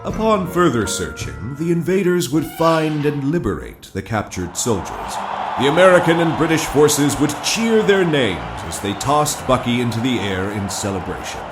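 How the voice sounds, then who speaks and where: A man's voice: mid-range voice, sing-song, moderate pace, over music, close to an everyday voice. A man narrating a cinematic audio drama in a dramatic, scripted storytelling style.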